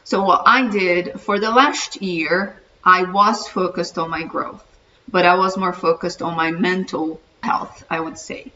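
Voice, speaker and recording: Brazilian-accented woman, earnest vlog host, bright but low-pitched voice, distant muffled mic. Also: fairly even intonation, measured pace. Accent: Brazilian Portuguese. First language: Portuguese. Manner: earnest, encouraging, talks straight to viewer. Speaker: vlogger, channel host. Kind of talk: casual self-introduction to camera. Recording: distant mic, dull muffled sound, faint room hiss.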